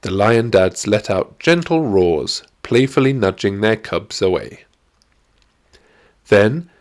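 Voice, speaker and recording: Slow, lively male storybook narrator. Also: fairly low pitch. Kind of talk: scripted children's audiobook narration.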